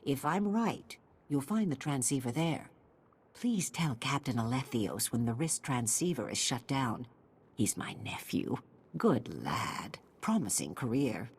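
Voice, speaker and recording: An actress playing a brisk, fond older female intelligence agent in a video game, with a deep, husky voice. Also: expressive, exaggerated voice. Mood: businesslike, fond.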